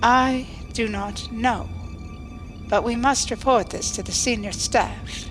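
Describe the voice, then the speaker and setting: A woman's voice: on the high side, fairly even intonation, slow pace, soft background music. A woman playing a coolly logical alien starship officer, formal, precise and unemotional, in a science fiction audio drama. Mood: formal, detached.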